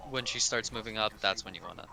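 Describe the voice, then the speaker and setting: A man's voice: fairly low pitch, fast, vocal fry, a crowd in the background, rough recording. A man giving casual, joking co-commentary on a video game speedrun.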